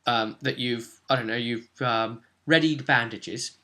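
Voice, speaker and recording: A man's voice: mid-range voice, measured pace, pushed and bright. A man talking casually through a tabletop roleplaying recap as game master, thinking aloud and checking with tag questions.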